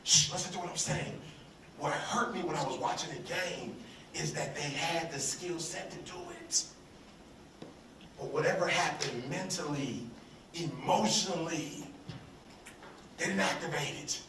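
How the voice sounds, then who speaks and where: A man's voice: on the high side, unhurried, pauses often, recorded from a distance, noisy background. An American man giving a live motivational speech to a room, emphatic and repeating his phrases call-and-response style. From United States. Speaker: motivational speaker. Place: large room with audience. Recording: roomy hall, voice from off-mic.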